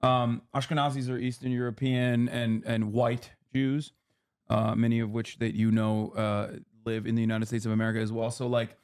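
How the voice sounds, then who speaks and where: A man in his thirties explains politics on a casual livestream. He talks in quick, lecturing bursts full of fillers and restarts. His voice sits at an ordinary middle pitch. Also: little pitch movement, a little mellow, clean tone.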